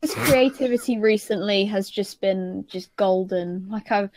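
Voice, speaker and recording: A woman's voice: medium pitch, unhurried, a little mellow, dry recording. A woman chatting casually as a panelist on a group podcast.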